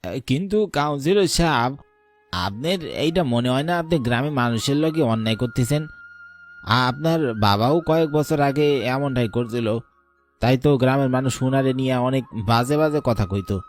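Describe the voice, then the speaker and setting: A man's voice: medium pitch, lively intonation, normal speed, soft background music, lightly acted. A man playing a troubled, guilt-ridden village labourer in a horror cartoon, anxious and pleading, with a rural Bangladeshi Bengali accent. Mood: worried protest. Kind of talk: animated horror story voice acting.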